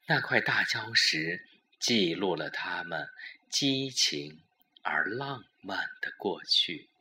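Male drama narrator: slow, deliberate and suspenseful, low-pitched, scripted storytelling. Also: expressive, sharp tone, a little hiss or hum.

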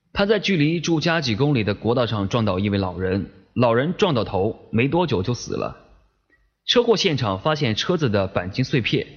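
A man's voice: mid-range voice, breathy edge. A man playing a methodical police detective questioning someone in an audio drama, businesslike and pressing. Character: male police detective, probing, methodical, firm interrogator. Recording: slightly dull, lo-fi microphone sound.

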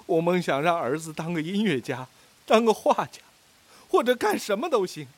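High-strung, despairing father. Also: big pitch swings, moderate pace, slight background noise. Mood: bitter despair. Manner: anguished, bitter, exclaiming. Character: bitter, despairing father who feels his dreams are shattered. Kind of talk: radio drama acting.

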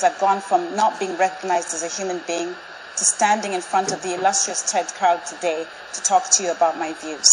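Measured, persuasive woman in her forties. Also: mid-range voice, background noise. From Zambia. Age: 40s. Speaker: speaker. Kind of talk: prepared public talk. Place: large auditorium with live audience.

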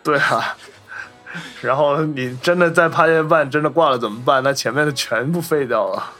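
A man's voice: mid-range voice, moderate pace, sharp tone, faint music behind. A man, talking casually about films as a podcast host. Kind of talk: casual film discussion.

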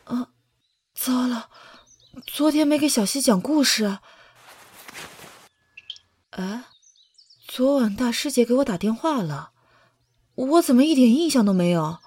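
A woman playing an awkward, soft-hearted young female soldier in an audio drama, with a hesitant, bumbling manner. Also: fairly high pitch, animated, unhurried, long pauses, breathy edge. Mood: groggy, regretful. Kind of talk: modern audio drama acting.

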